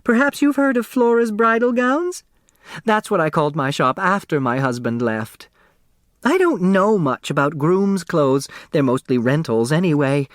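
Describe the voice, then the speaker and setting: A man's voice: a little high, big pitch swings, measured pace, no room sound, studio quality, voice pushed up. A man voicing a small, timid older woman, a chatty dressmaker eager to please, in an audiobook.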